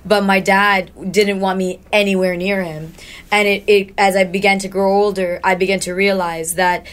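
A woman from Iraq co-hosting a podcast, talking in a reflective, halting way with many restarts. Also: medium pitch, level tone. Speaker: podcast co-host. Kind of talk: casual chat.

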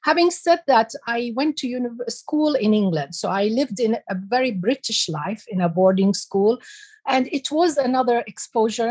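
A woman in her sixties from Iraq, giving slow, measured answers as an interview guest. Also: on the high side, a little mellow, breathy edge. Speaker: guest, heritage expert.

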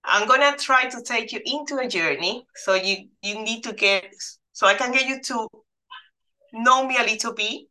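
A woman in her forties from the Dominican Republic tells her story as an interview guest. Her voice is bright and clear. She speaks earnestly and steadily. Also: on the high side, normal speed, rough recording. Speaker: guest, life coach. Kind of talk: interview answers.

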